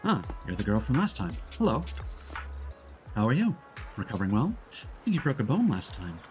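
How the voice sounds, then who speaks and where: A man's voice: lowish voice, huge pitch swings, a little fast. A man voicing a dutiful, kindly policewoman from a video game in a polite, self-effacing way. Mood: polite, modest. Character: modest, dutiful, kindly female police officer. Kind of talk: reading game dialogue aloud. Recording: music playing behind the voice.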